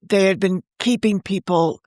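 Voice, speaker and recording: A woman's voice: medium pitch, moderate pace, darkish tone, dry recording, studio quality. A woman in her sixties, a novelist guest, talking earnestly in a rambling, casual way with frequent restarts. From United States. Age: 60s. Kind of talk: casual interview conversation.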